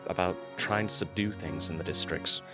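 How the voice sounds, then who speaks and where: Troubled, principled young fiancé played by a man, very deep voice, radio-play acting over music. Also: steady tone, normal speed, natural voice. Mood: disgusted, troubled. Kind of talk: amateur radio drama acting. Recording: music behind.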